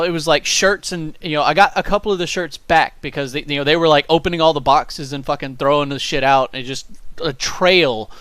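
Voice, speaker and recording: A man's voice: a little high, a bit bright, clean tone, no room sound. A man chatting casually and bluntly, with plenty of swearing. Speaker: amateur audio drama editor. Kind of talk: casual chat.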